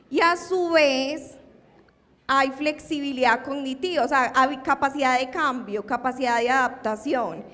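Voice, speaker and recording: A woman giving a talk at an academic conference, lively and full of rhetorical questions to her audience. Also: high voice. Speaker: lecturer. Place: lecture hall. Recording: long hall reverb.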